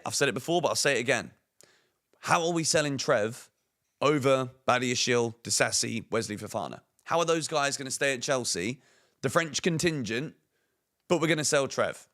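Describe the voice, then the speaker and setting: A man with a croaky, hoarse voice, giving opinionated football transfer news commentary on a fan channel, full of emphatic rhetorical questions. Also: medium pitch, moderate pace, a bit bright.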